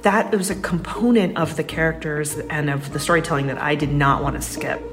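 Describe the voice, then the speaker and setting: A woman's voice: deep voice, a little fast, loud music behind the voice. A woman speaking thoughtfully as an interview guest.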